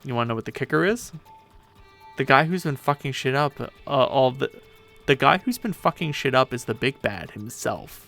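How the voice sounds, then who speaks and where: A man's voice: medium pitch, lively intonation, normal speed, vocal fry, a bit bright, soft background music. A man voicing a brash, sarcastic, boastful female pirate ghost in a dramatic story reading. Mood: intent explaining. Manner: impatient, sarcastic, swearing.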